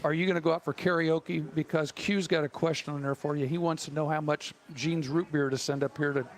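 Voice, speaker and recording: A man's voice: on the high side, steady tone, fairly quick. A middle-aged man with an American accent co-hosting a sports show in casual, conversational chat.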